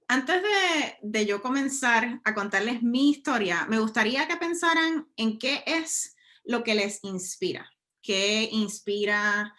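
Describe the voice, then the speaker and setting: A woman's voice: medium pitch, pushed and bright. A woman from Puerto Rico with a Puerto Rican Spanish accent, giving a casual webinar talk over a video call, often restarting her phrases. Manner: conversational, frequent restarts and fillers. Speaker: guest speaker, scientist. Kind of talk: webinar presentation.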